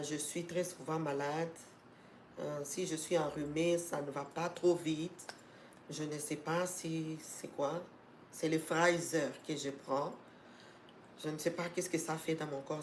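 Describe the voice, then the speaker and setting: A woman's voice: deep voice, slight background noise. A woman with a cold hosting a live stream for her educational channel, talking to viewers with many fillers and restarts and turning emphatic as she urges them on. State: congested with a cold. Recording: echoey room.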